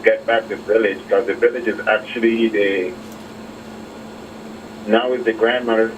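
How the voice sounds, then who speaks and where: A man calling in to a talk show with his opinion, speaking haltingly with frequent pauses. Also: lowish voice, steady tone, lo-fi sound. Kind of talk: unscripted call-in opinion. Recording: distant call-in voice, clear background noise.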